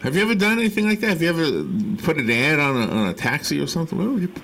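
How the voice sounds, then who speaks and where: American man with lively, swooping pitch. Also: very fast. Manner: questioning, thinking aloud. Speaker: radio host. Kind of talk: casual radio chat. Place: radio studio. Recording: faint background noise.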